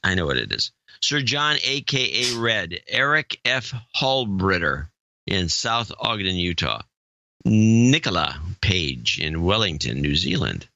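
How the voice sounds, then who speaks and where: A man reads donor names in a slow, unhurried way, his low voice carrying a bright, forward edge. He stops to puzzle over pronunciations and throws in quick jokes. The sound is dry and a little dull. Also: very animated, vocal fry, dry recording. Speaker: podcast co-host reading donor names. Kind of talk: casual chat.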